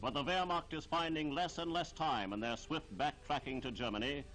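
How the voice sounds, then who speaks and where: A man reading scripted 1940s newsreel narration in a formal, declamatory, measured delivery. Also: fairly high pitch, a bit bright, low-quality recording. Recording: 1940s archival newsreel soundtrack with music.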